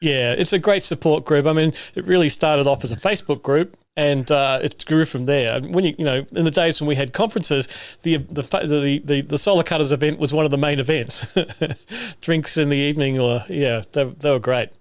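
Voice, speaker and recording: A man's voice: fairly high pitch, moderate pace, no room sound. A man in his fifties with a New Zealand accent, hosting a casual online interview in an upbeat, chatty way from a home studio. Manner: upbeat, chatty, laughs often. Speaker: host. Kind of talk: casual video-call interview. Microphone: studio mic on a stand with headphones.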